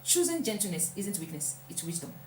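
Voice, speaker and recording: A woman's voice: mid-range voice, sing-song, brisk, a little mellow. Earnest woman giving advice. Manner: earnest, repeats for emphasis. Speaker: vlogger. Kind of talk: reflective motivational talk. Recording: echoey room, faint hum.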